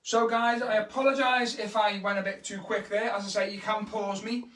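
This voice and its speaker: A man from Liverpool with a Scouse accent, a kids' entertainer chatting casually through magic tricks and speeding up as he gets excited. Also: high-pitched, moderate pace. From Liverpool, England. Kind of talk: casual live-stream tutorial. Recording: roomy echo, mic at a distance.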